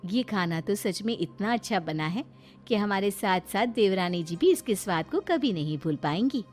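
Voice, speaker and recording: A woman's voice: medium pitch, animated, normal speed, darkish tone, soft background music, close to an everyday voice. A woman voicing a sly village elder daughter-in-law in a story, with teasing, sugary sarcasm. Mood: mock praise.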